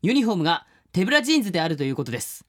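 A man's voice: high-pitched, very animated, rapid-fire, a bit bright. A man co-hosting a radio show, chatting casually and reading out listener mail in a chatty, agreeable manner.

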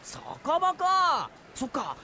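Carefree, boyish young samurai, lively pitch. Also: female voice, extremely slow, a little hiss or hum, heavily acted. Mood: easygoing, curious. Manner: casual, slangy, boyish speech. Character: carefree, irresponsible young male samurai who loves fun. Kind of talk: Japanese comedic audio drama acting.

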